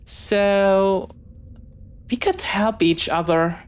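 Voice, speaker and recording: A woman's voice: mid-range voice, very slow, clean tone. A woman playing an eager, friendly, bookish young pirate in an audio roleplay, chatty and well-spoken with a slight hesitance. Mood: curious, friendly. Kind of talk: one-sided audio roleplay acting.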